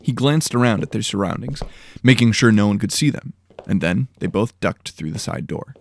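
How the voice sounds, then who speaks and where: A man's voice: fairly low pitch, animated, normal speed, vocal fry. A man reading scripted fantasy audiobook narration as a storyteller.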